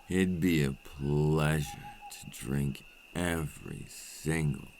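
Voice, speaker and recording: A man playing a menacing, seductive vampire captor in an intimate ASMR roleplay, cruel, patient and taunting, with slow, silky threats close to the mic. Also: slight background noise, full character voice. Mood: savoring, taunting. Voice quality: very deep, gravelly. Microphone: close microphone.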